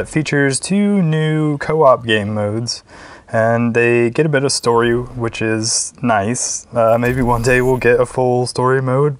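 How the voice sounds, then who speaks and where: A man giving casual, relaxed gameplay commentary as a channel host. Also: medium pitch, dry recording.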